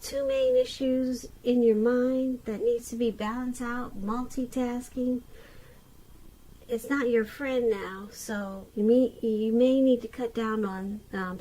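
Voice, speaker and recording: Female tarot reader, fairly high voice, unhurried and halting, speaking off the cuff to the viewer, slight noise behind. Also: steady tone, dark tone, poor audio. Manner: halting delivery, pauses mid-sentence and repeats phrases. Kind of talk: unscripted tarot love reading addressed to the viewer.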